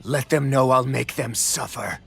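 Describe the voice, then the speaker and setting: An actor playing an arrogant, vengeful tyrant villain in a video game, menacing and megalomaniacal. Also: male voice, medium pitch, a little slow, vocal fry, very noisy. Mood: menacing contempt. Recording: music under the voice.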